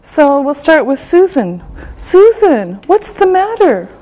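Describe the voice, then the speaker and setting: A woman teaching English, who says each phrase slowly and clearly, repeats it, and checks in with "okay?". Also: high voice, big pitch swings, dark tone, rough recording. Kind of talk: slow, deliberate classroom teaching.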